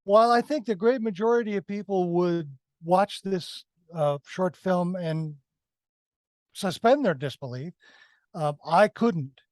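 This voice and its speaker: A man's voice: high voice, measured pace, no echo. A man giving a conversational film critique as a review panelist, emphatic and repeating phrases for effect.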